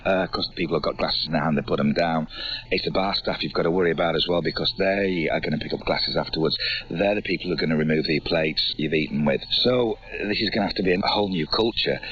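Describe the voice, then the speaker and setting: A Yorkshireman with a deep voice talks quickly and informally, as a pub landlord being interviewed. The radio sound is muffled. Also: a bit bright. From Yorkshire, England. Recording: muffled longwave radio capture.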